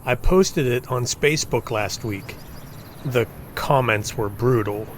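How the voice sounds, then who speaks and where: A man's voice: mid-range voice, unhurried, a little hiss or hum, lightly acted. A man playing a timid, awkward agency employee with a sheepish, hesitant manner in a sci-fi comedy audio drama.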